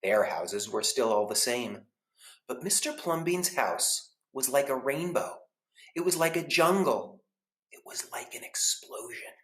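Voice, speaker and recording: Male storybook reader, mid-pitched and animated, deliberate expressive phrasing, echoey room. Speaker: school librarian reading aloud. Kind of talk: children's storybook narration.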